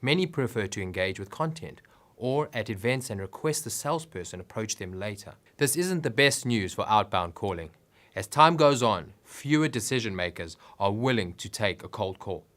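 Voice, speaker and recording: A man's voice: fairly low pitch, lively intonation, normal speed, a bit bright. A man reading scripted business advice narration in an instructive way with emphatic phrasing.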